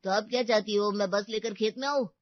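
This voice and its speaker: A woman voicing a gruff, impatient male school bus driver for a cartoon, blunt and grumbling. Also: medium pitch, steady tone, fast, dry recording, close to an everyday voice. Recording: dull, muffled audio.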